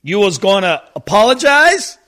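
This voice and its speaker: Casting: male actor as worn-out mob boss dad, high voice swinging widely, whiny, halting, radio comedy. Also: very animated, a bit bright. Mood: wary, irritated. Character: stressed, weary male mobster and family father. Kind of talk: comedic radio play acting.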